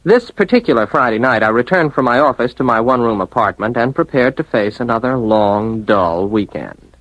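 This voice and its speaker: A man's voice: medium pitch, lively intonation, moderate pace, a bit bright, no room sound, poor audio. An American man playing a lonely, diffident young office worker with romantic ideas in a 1950s radio drama, wry, articulate and hesitant. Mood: wry, wistful. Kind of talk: 1950s radio drama acting, first-person narration.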